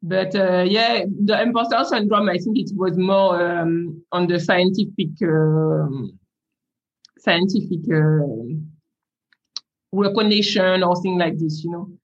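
A woman's voice: fairly low pitch, slow, muffled recording. Laughing woman with a French Caribbean accent. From Caribbean island. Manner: laughs often, hesitant with many uh fillers. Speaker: guest scientist. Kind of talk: casual interview conversation.

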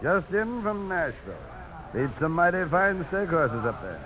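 A man's voice: sits high, very animated, moderate pace, crowd noise. An actor in a radio drama playing a sly dive-bar owner with a hearty, folksy salesman's manner. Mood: hearty, welcoming. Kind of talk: 1950s radio drama acting. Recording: noisy old radio broadcast.

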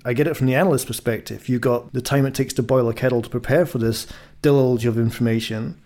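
Gravelly, mellow man asking questions. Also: medium pitch, steady tone, quick pace. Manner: inquisitive, thinks aloud in questions. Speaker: podcast host. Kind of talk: conversational podcast questioning and recapping.

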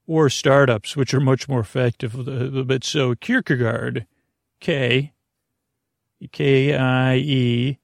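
A man hosting a bedtime podcast in a meandering, halting, absent-minded monologue, often interrupting himself, with a gravelly voice. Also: mid-range voice, fairly even intonation, no room sound, studio quality. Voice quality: gravelly, creaky. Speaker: podcast host.

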